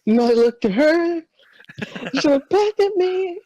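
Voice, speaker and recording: A man's voice: very high voice, lively intonation, a little slow, dark tone, dry recording, muffled recording. A man making dry jokes and laughing as a co-host in casual banter.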